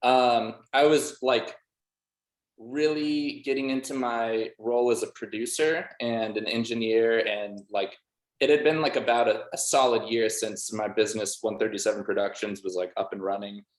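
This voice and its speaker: A man's voice: lowish voice, steady tone, normal speed. A man with a laid-back, rambling way of talking, full of "like", answering casually as a musician in an interview. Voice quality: casual, filler-heavy speech with frequent "like". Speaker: band member and music producer being interviewed. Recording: roomy, echoey sound.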